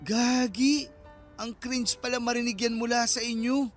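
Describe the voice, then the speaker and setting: A man's voice: extremely high pitch, steady tone, unhurried, faint music behind. An actor playing a young Filipino man in a radio drama, a teasing best friend who banters in slang and acts mock-offended.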